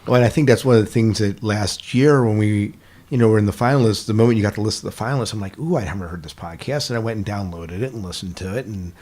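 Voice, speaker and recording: A man hosting a casual podcast interview. He talks quickly in a slightly low voice, affirming his guest and keeping the delivery conversational. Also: a little mellow.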